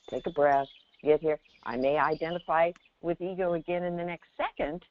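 Woman, thin telephone sound, lowish voice, very varied intonation, conversational spiritual guidance, emphatic halting phrases. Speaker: spiritual teacher on a call-in show. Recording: thin, narrow phone-line sound.

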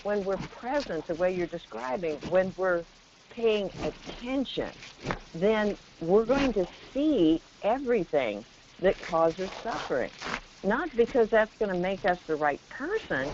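A woman's voice: mid-range voice, very animated, measured pace, noisy background. A woman giving spiritual guidance to callers as a meditation teacher, speaking emphatically and often checking in with rhetorical questions. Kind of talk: spiritual guidance in a call-in conversation. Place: remote audio call. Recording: dull, lo-fi call audio.

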